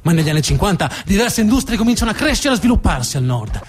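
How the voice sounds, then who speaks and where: A man's voice: sits high, sing-song, rapid-fire, faint music behind. A man performing a narrative theatre monologue, emphatic and theatrical, repeating words for effect. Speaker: storytelling stage actor.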